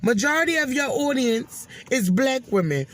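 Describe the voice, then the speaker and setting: A woman's voice: sits high, expressive, moderate pace, fairly bright tone, dry recording. A woman hosting opinionated commentary, speaking in an emphatic, scolding manner and repeating phrases for stress. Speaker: host of a commentary and reaction channel. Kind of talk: opinionated social commentary, addressing an absent person.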